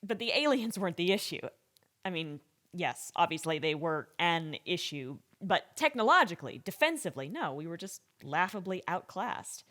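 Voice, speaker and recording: Female scientist, lowish voice, wry, candid, conversational, deliberate pauses, audio drama interview. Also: sing-song, fairly bright tone. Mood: earnest, frank.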